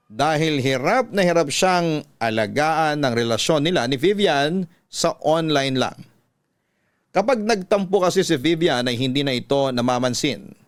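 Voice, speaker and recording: A man with a Filipino accent reading a listener's letter as scripted radio-style story narration, in a measured storytelling delivery. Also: medium pitch, no room sound.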